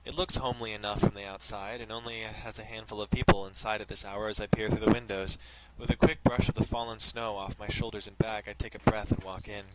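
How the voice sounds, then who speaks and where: A man's voice: fairly low pitch, very noisy. A man streaming a let's play, reading a visual novel's narration aloud with loose, casual asides. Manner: swears casually. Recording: loud music behind the voice. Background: loud music.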